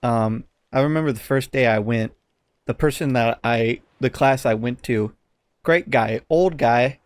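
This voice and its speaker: A man chatting casually as a podcast host, telling things in a halting, hesitant way. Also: medium pitch, smooth, no echo.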